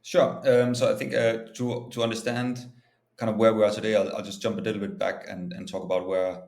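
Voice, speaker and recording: A man's voice: lowish voice, level tone, a little fast, gravelly, darkish tone. A man from Denmark speaking informally and explaining things as a guest in an interview. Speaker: startup founder and CEO, interview guest. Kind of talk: conversational interview answers. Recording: echoey, roomy sound.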